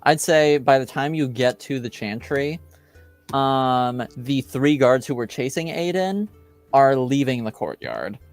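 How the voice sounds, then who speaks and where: A man narrates a tabletop roleplaying game as game master. He speaks slowly and haltingly, feeling for the next words. His pitch is middling, and music plays behind him. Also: animated.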